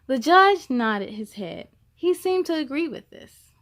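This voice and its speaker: A woman with a fairly high voice reads a picture book aloud for story time. Her delivery is deliberate and expressive, placing emphasis on key words as a storyteller would for young listeners. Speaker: host of a children's read-aloud story time.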